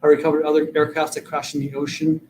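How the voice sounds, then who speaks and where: A man's voice: on the high side, completely flat delivery, quick pace, a little mellow, slight background noise. A man in his fifties, originally from Vietnam, speaking earnestly as an interview guest and often repeating words as he starts sentences. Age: 50s. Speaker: guest, political candidate.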